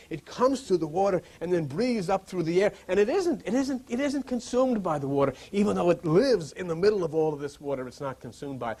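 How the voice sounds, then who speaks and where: A man's voice: high-pitched, darkish tone. A man giving an impassioned metaphysical sermon as a spiritual teacher, exhorting conversationally and repeating phrases for emphasis.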